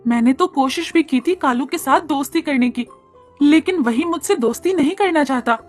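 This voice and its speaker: A woman voicing a gentle, friendly young cow in an animated moral story, polite and eager to please. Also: sits high, normal speed, soft background music, lightly acted. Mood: pleading. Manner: polite, pleading.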